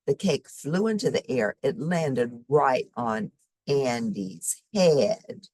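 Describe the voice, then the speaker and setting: A woman reading a children's story expressively, with simple, deliberate phrasing for young listeners. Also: low voice, darkish tone, no room sound. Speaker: storybook reader.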